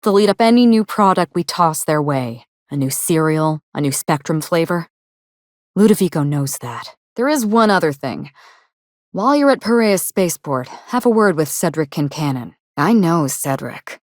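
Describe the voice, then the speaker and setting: A woman playing a guarded, world-weary actress in a video game, speaking in a measured, dry, wry way. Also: deep voice, very animated, darkish tone, dry recording. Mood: matter-of-fact. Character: guarded, world-weary actress investigating her sister's murder. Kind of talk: scripted game dialogue.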